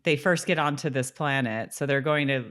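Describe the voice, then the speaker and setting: A woman with a notably low voice chats as a podcast co-host. Her delivery is casual and conversational, like talking over a show with a friend. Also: moderate pace, a bit bright, clear, resonant tone. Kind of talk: casual chat about a TV episode.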